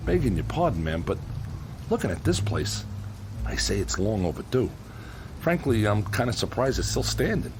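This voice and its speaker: An actor playing a gruff but kind-hearted demolition contractor in a radio drama, with an American accent and colloquial, earnest, insistent working-man speech. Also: medium pitch, a little hiss or hum, close to an everyday voice, rough recording.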